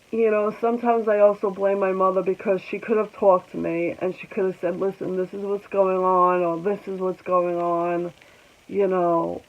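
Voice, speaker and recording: A woman in her forties speaking slowly in a personal vlog, congested, halting, and pausing often. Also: fairly low pitch, creaky, highs cut off. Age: 40s. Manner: halting, reflective, with many pauses and ums. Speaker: vlogger. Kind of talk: personal vlog talking to viewers.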